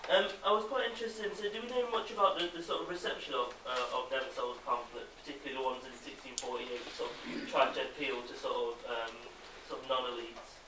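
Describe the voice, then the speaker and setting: A man speaking from the audience at an academic conference, putting an informal question in a tentative, halting way. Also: mid-range voice, fairly even intonation. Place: conference room, indoors. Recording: noisy, echoey room, distant mic.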